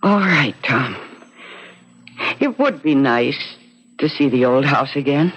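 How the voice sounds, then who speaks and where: A woman's voice: a bass voice, animated, slow, loud music behind the voice, full character voice. A dull, band-limited radio recording of a young American wife. She is wistful and nostalgic, speaking politely and a little hesitantly in a seventies radio drama style. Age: young adult. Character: nostalgic young suburban wife, eager yet apologetic. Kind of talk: 1970s radio drama acting.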